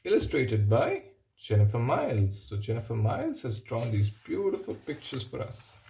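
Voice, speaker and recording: A man reading a picture book aloud, pausing for simple explanatory asides and checking in with the listener. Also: mid-range voice, wildly swooping pitch, vocal fry, dark tone, slight background noise. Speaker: storybook reader.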